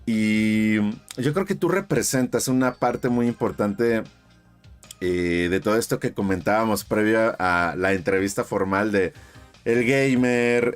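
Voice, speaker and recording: A man from Mexico, hosting his channel's interview in a chatty, effusive way with lots of fillers. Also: on the low side. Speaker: YouTube channel host.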